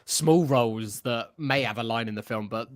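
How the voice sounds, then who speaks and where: A man's voice: medium pitch, moderate pace. A man from southern England with a southern English accent, chatting casually as a podcast host. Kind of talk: casual chat.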